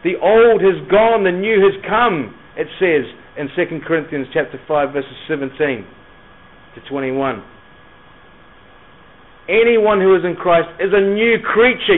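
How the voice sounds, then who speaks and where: A man with a softened Scottish accent and a touch of New Zealand, preaching to young people with rhetorical questions, pauses and emphatic repetition. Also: high voice. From Scotland, moved to New Zealand as a schoolboy. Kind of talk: evangelistic sermon to young people. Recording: narrow, phone-like lo-fi sound.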